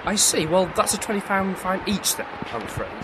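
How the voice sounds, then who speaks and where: A man's voice: high-pitched, sing-song, brisk. A man playing a ticket inspector, polite and officious. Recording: noisy background ambience.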